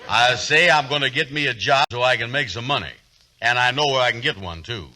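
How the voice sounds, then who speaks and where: A man acting a slow-witted, boastful, lovestruck fellow with folksy, ungrammatical speech in 1940s radio comedy. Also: mid-range voice, bright, forceful tone. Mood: determined. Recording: 1940s radio broadcast recording.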